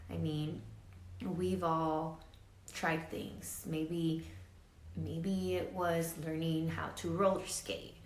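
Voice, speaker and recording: A woman reading a picture book aloud to children as a teacher, dramatic and expressive, pausing to ask her listeners questions. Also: low voice. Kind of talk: animated children's read-aloud with asides to listeners. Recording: roomy echo with faint background noise.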